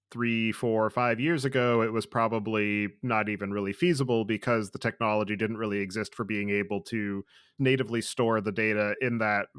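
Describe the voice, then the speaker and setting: A man's voice: on the low side, a bit bright, clear, resonant tone, no echo, studio quality. A man hosting a podcast interview, posing formally worded questions in long, articulate sentences.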